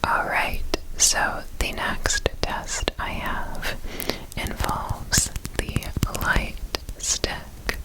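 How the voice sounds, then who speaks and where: Asmr lady doing a soft examiner roleplay, all breathy whisper, really slow, reassuring, repeats words. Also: low-quality recording. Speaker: ASMR performer playing an examiner.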